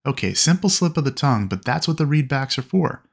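A man narrating instruction in a clear, explanatory way. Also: mid-range voice, expressive, a little fast.

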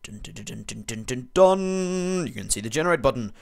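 A man's voice: medium pitch, lively intonation, brisk, vocal fry, darkish tone. A teenage boy giving casual, rambling commentary over a screen recording, muttering and mocking himself as he types. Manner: rambling, self-mocking, muttering while typing. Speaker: video maker.

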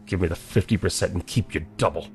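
An actor playing a proud, middle-aged scientist who talks in an indignant, clipped way. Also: male voice, low-pitched, quick pace, soft background music, close to an everyday voice.

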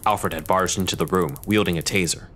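A man's voice: deep voice, brisk, background noise. A man reading scripted dramatic narration as the narrator of an audio drama.

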